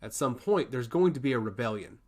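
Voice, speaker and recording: Man voicing an earnest, expressive chaos god. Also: medium pitch, a little fast, natural voice. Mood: resentful, ominous. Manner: ranting, earnest, emphatic monologue. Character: passionate male god of chaos who loves humanity. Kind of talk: fantasy storybook character dialogue.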